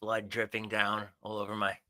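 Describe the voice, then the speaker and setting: A man's voice: fairly low pitch, monotone, moderate pace, bright, forceful tone, dry recording, studio quality. A man narrating his own actions in a tabletop roleplay game, theatrical and intense. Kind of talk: dramatic first-person roleplay narration.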